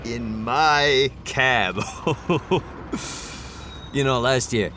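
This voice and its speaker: An actor playing a folksy, rambling cab driver and dad, chatting casually in a radio drama. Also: male voice, mid-range voice, extremely slow, sharp tone. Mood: chatty, admiring. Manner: rambling, hesitant, colloquial. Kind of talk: naturalistic, casual dramatic chat. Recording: street and car noise behind.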